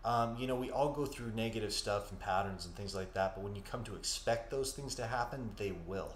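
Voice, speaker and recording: A man with a fairly low voice talks through psychic impressions, pausing often with fillers before reassuring his listeners. The sound is roomy and echoey, with a faint steady noise underneath. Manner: hesitant, filler-heavy, reassuring. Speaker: psychic medium podcast co-host. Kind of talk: live-stream psychic readings and chat. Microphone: studio mic.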